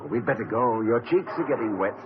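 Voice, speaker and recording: A man's voice: medium pitch, expressive, a little fast, phone-quality sound, close to an everyday voice. A man playing a whimsical, chuckling daydreamer. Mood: tender. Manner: genteel, playful, chuckling. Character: whimsical, tender male college president, a gentle daydreamer. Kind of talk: 1950s radio drama acting. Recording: old radio broadcast recording with music.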